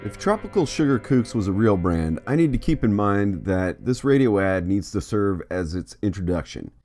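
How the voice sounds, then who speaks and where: Low-pitched, lively man narrating a vlog. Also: moderate pace, faint music behind. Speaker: host, artist.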